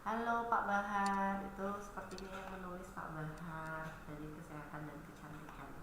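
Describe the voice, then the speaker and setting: A woman's voice: mid-range voice, level tone, normal speed. A woman hosting a live stream with a stuffy nose from a cold, chatting casually and greeting viewers by name as she reads their comments aloud. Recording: very echoey room with noise.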